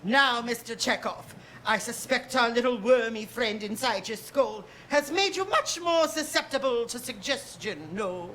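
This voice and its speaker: A woman's voice: a little high, animated, unhurried, fairly bright tone, a little hiss or hum, low-quality recording. A woman playing a grandiose, scheming male starship villain in a theatrical musical parody, pompous in delivery. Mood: smug, scheming. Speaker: stage actor in a musical parody. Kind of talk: theatrical comic musical reading. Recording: live stage reading with music.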